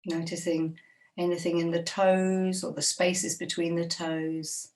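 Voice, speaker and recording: A woman guiding a body scan meditation, speaking slowly and gently with long, unhurried pauses. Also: lowish voice, level tone, far from the mic. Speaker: meditation guide.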